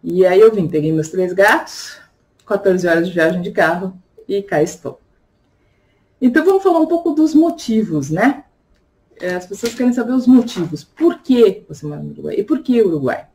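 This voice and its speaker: Brazilian-born woman, casual reflective vlog, mid-pitched, chatty, asides to viewers. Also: animated, moderate pace, darkish tone. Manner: chatty, reflective, frequent tag questions. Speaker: vlogger and tarot reader. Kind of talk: casual personal vlog monologue.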